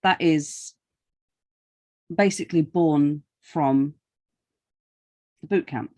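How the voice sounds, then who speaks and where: A woman's voice: sits low, very slow, darkish tone. A woman interviewing a podcast guest in a casual, conversational style with an informal, teasing manner.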